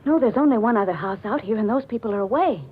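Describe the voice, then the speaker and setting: A haunted, anxious widow played by an actress in melodramatic 1940s radio style. Her high voice moves widely and trembles with fear. The old recording is muffled, with faint hiss. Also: lively intonation, quick pace, natural voice. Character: frightened, haunted widow living alone. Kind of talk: 1940s radio suspense acting.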